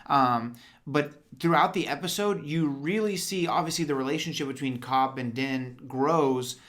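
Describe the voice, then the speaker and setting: Young man, excitable, slangy, casual fan chat, lots of fillers. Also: mid-range voice, normal speed.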